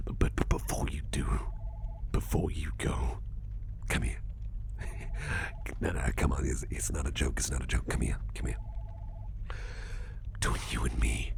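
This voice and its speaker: A man playing a suave, genteel boss interrogating an employee in an ASMR roleplay, in a gravelly half-whisper with slow, deliberate, wordy phrasing. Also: extremely low pitch, exaggerated voice. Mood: reassuring, apologetic. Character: suspicious but kindly crime-tinged boss, suave interrogator.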